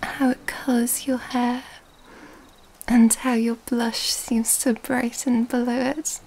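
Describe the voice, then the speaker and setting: A woman acting as an elegant, predatory vampire lady in an ASMR roleplay. Her breathy, whispered voice sits high but stays soft and dark, unfolding very slowly with teasing, mysterious formality. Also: little pitch movement. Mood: admiring, tender. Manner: slow, teasing, formal old-fashioned wording.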